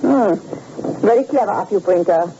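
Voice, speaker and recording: A man's voice: high voice, expressive, normal speed. An actor playing a nervous, puzzled male accomplice in a 1940s radio crime drama, talking in an anxious, baffled way. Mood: uneasy. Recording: muffled hissy old radio broadcast.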